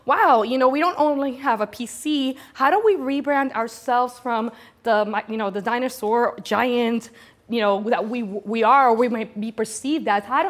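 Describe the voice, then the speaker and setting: A woman from Venezuela giving a motivational business talk to an audience, speaking with enthusiastic, conversational emphasis and repeating words to drive points home. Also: sits high. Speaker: conference speaker, brand storyteller.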